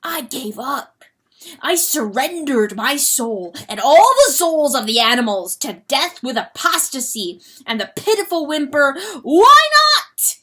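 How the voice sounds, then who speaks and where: Lady doing a tormented rooster for a storybook, high sharp wailing, choked up, hates himself. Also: very animated, a little slow, full character voice. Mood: anguished confession. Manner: wailing, choked, self-loathing. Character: anguished guilt-wracked male rooster, once a proud lord. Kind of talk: dramatic audiobook reading of animal fantasy.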